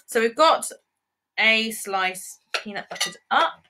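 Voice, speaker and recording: A woman co-hosting a children's cooking demo, calmly explaining each step. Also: a little high, slow pace, noisy background. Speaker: children's show co-host.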